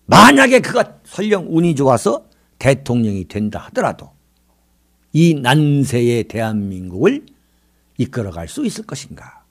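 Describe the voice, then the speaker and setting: A man in his sixties from South Korea delivering political commentary in an oratorical way, with dramatic emphasis and rhetorical questions. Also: a little high. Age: 60s. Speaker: political commentary show host.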